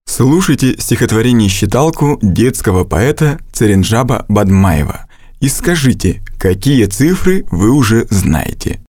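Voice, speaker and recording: A man reading scripted narration for a language course, with slow, deliberate instructional delivery and a close studio mic. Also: on the low side, very animated, a little mellow, husky, no room sound.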